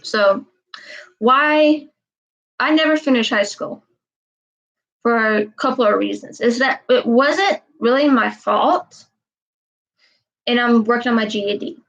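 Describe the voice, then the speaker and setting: Young woman in her twenties, higher voice, rambling live-stream chat, muffled audio. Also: takes it slowly, long pauses, fairly bright tone. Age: 20s. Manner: meandering, repeats herself, frequent "um".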